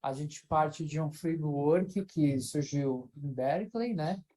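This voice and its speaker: A man speaks in a relaxed, mellow voice. He is an invited researcher giving an informal academic talk over a video call. He thinks aloud, padding his sentences with fillers and restarting them. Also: on the high side, slow, low-quality recording.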